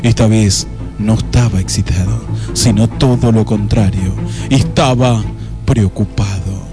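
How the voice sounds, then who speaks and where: A man's voice: fairly low pitch, steady tone, measured pace, music in the background. A man playing a solemn, lurid narrator in a noir parody radio play, melodramatic and lingering over his phrases. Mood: wry, storytelling. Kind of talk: scripted dramatic narration.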